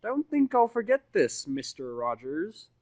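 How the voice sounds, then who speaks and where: A man voicing a haughty, menacing, indignant wealthy pony father in a fan audio story, speaking pompously with slow, deliberate threats. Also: high voice, sing-song, a little mellow, dry recording, pitched above the performer's own voice.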